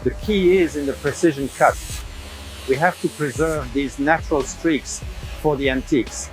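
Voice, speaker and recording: A man's voice: a little high, normal speed, music in the background, natural voice, low-quality recording. A man playing an attentive leather craftsman in scripted documentary dialogue.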